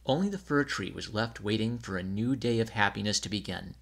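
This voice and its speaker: A man's voice: fairly low pitch, brisk, gravelly. A man narrating a scripted children's story as a storybook reader.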